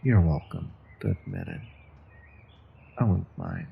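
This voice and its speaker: A man's voice: low-pitched, huge pitch swings, a little slow, vocal fry, slightly rough, narrow, tinny audio, heavily acted. A man playing a menacing, condescending evil sorcerer in a fantasy audio roleplay, speaking to a captive with theatrical deliberation. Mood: menacing, taunting. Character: menacing, controlled evil male sorcerer, captor taunting prisoner. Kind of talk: scripted fantasy audio roleplay, intimate second-person monologue.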